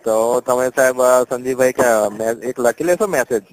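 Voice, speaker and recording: A man's voice: medium pitch, normal speed. A man with a Gujarati accent working as a customer care agent on a phone call, patient, deferential and placating. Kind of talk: polite helpline conversation.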